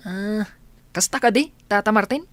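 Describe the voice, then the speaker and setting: A woman's voice: mid-range voice, normal speed, fairly bright tone, dry recording, natural voice. A woman with an Ilocano accent voicing a friendly, inquisitive village schoolboy in a radio comedy drama. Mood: cheerful.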